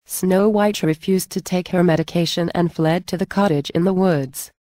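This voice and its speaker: A woman's voice: on the low side, steady tone, normal speed, warm tone, dry recording. A woman reading scripted narration for a storybook tale.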